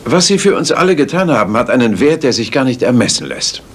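A man's voice: mid-range voice, sing-song, fast, lightly acted. Man as a stiff senior official giving a formal tribute in a radio play, faint hiss. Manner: formal and ceremonious. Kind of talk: German radio drama.

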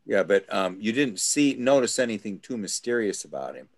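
A man leading a study group over a video call, asking questions in a halting way with many restarts. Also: fairly low pitch, very animated, no echo. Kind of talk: casual group discussion.

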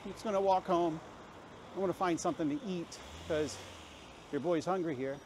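A man's voice: fairly high pitch, moderate pace. A man talking casually to a camera as a travel vlogger walking on a busy street, rambling in a self-mocking way. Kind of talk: casual walk-and-talk narration to camera. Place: busy city street, outdoors. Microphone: handheld camera while walking. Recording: clear street and traffic noise.

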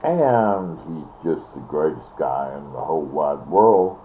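An older man chatting casually and ramblingly, halting and repeating words, recorded on a device mic in a bedroom. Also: rumbling low, big pitch swings, warm tone. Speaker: vlogger. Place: small bedroom, indoors. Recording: dull, noisy webcam audio.

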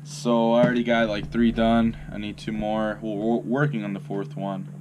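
A man's voice: fairly low pitch, level tone, moderate pace, lo-fi sound, noisy background. A young man talking casually to a close action camera, rambling with lots of fillers and restarts, first in his bedroom and later while driving his car. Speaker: vlogger. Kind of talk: casual vlog talk to camera. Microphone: action camera held close.

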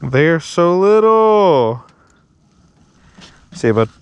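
A man's voice: sits high, sing-song, slow pace. A young man chatting casually while fishing from a small boat on a river, saying dude and bro, recorded on an action camera. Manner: laid-back, says dude and bro. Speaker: fishing vlogger. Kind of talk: casual outdoor chat. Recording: action camera with clear wind and water noise.

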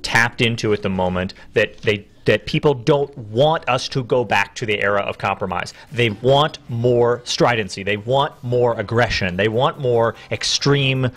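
A man's voice: medium pitch, measured pace, hardly any pauses, a bit bright. An American man in his thirties on a radio panel, explaining politics and restating his points for emphasis. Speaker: talk show panelist. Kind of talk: political discussion. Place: radio studio. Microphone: close broadcast microphone on boom arm.

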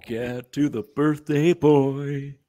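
A man's voice: mid-range voice, fairly even intonation, slow, dark tone, a little hiss or hum, lightly acted. A young man playing a cocky space smuggler in a comic table read, emphatic and mock-serious. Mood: defiant. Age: young adult. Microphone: close desk microphone with headset.

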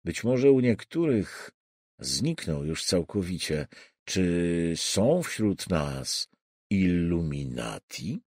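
A man voicing a gentle, quiet, solemn self-proclaimed prophet in his fifties, preaching in a measured way in an audiobook. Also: deep voice, sing-song, dry recording, clean studio sound, pitched below the performer's own voice, full character voice. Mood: solemn, soothing.

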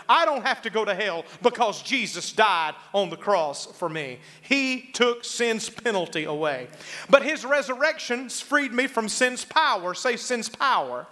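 A middle-aged man preaching a sermon as a pastor, emphatic, slowing on key phrases to drive them home. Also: high voice, sharp tone. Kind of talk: sermon with congregational call-and-response. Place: church sanctuary stage, indoors.

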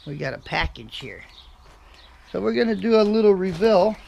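A middle-aged American man vlogging casually about his day, relaxed and chatty, filming himself in his vehicle and on a porch. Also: high voice, moderate pace, creaky, slight background noise. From USA. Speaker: trucker vlogger. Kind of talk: casual vlog chat. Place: in a parked vehicle, on a home porch outdoors. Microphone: camera mic close up.

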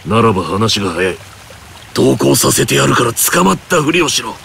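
A man's voice: medium pitch, sing-song, normal speed, gravelly, a bit bright, slight background noise. A proud, swooping warrior king. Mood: grave. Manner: authoritative, declamatory. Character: proud, commanding warrior king, stern and hot-blooded. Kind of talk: Japanese anime fighting game acting. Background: background music.

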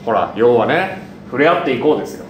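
A man's voice: lively intonation, quick pace. A young man making a casual announcement as co-presenter of a live show, bantering in a jokey, mock-cocky way. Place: small indoor studio. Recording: echoey room, hissy low-quality web stream.

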